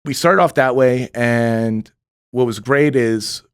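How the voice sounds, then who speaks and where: A man's voice: medium pitch, slow, clean tone, dry recording. A man who cofounded a youth sports league and coaches in it, telling stories in casual podcast talk, rambling and full of "like" fillers. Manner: rambling, heavy on "like" fillers, acts out what he told players.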